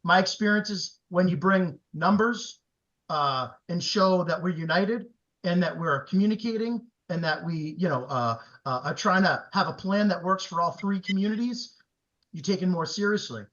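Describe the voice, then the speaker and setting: A man speaking as a town board member at a public meeting, earnest, with many pauses and fillers. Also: high voice, a bit bright, dull audio.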